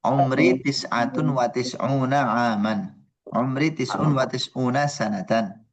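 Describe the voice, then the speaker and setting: A man's voice: medium pitch, unhurried. A male teacher running an online class, patiently explaining number words and drilling his students. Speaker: Arabic teacher.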